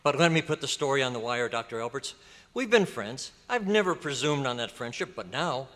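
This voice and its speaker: A man's voice: mid-range voice, big pitch swings, brisk, a bit bright. A man playing an earnest, inquisitive newspaper reporter, polite but dramatic, in a recreation of an old-time radio drama. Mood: pleading, earnest. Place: hotel convention meeting room. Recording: echoey hall, live stage performance.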